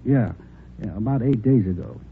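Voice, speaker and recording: An actor playing a friendly, hospitable American bar owner in a 1940s radio drama, chatting in a folksy, conversational way. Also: male voice, on the low side, animated, normal speed, creaky. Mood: chatty. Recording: muffled, noisy vintage 1940s radio recording.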